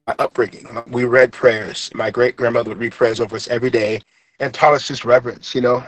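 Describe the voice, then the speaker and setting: A man's voice: medium pitch, fairly even intonation, fairly quick, no room sound. A young man who grew up in Trinidad, speaking with a light Trinidadian accent and reflecting earnestly, with lots of fillers and restarts, as an interview guest. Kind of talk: interview, personal story.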